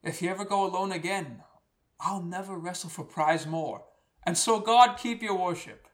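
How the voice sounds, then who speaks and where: A proud wrestler, played by a man addressing his betters in a Shakespearean radio drama. His voice is notably high for a man, and his delivery is earnest, formal and declamatory. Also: normal speed, close to an everyday voice. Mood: hearty, threatening. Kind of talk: Shakespearean radio play acting.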